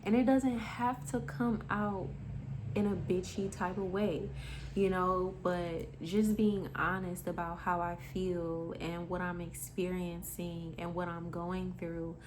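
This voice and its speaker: A woman's voice: fairly low pitch, steady tone, slow, background noise. A woman in her twenties giving a casual motivational monologue, candid and emphatic, swearing freely and calling the listener honey. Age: 20s. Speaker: spiritual vlogger.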